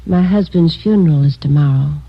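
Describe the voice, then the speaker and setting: An actress in a 1950s radio drama playing a dignified, wealthy widow in subdued grief, hesitant and formal. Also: female voice, low-pitched, brisk, gravelly, slight background noise. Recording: very muffled vintage radio recording.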